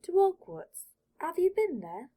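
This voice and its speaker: A woman voicing a skinny, nervous, eager eleven-year-old wizard boy in an audiobook, with halting, questioning delivery. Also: very high-pitched, big pitch swings, warm tone, pitched above the performer's own voice, heavily acted. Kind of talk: audiobook character voice.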